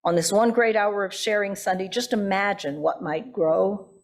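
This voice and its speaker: A woman pastor giving a sermon in deliberate, emphatic phrases, often posing rhetorical questions. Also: mid-range voice.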